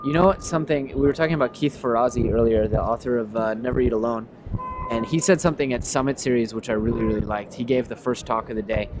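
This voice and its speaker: A man's voice: medium pitch, fast talker, music in the background, low-quality recording. A man talking casually as a podcast host, animatedly telling stories and acting out example greetings. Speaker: podcast host and memory expert. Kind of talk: casual conversational discussion.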